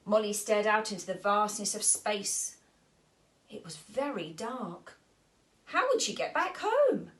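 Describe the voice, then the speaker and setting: A woman's voice: medium pitch, sing-song, unhurried. A woman storyteller reading a children's story aloud expressively.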